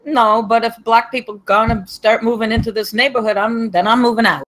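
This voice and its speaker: A woman playing a blunt, grumpy eighty-year-old whiskey drinker in an animated comedy table read. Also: on the high side, a little fast, no room sound, lightly acted, low-quality recording. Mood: unapologetic.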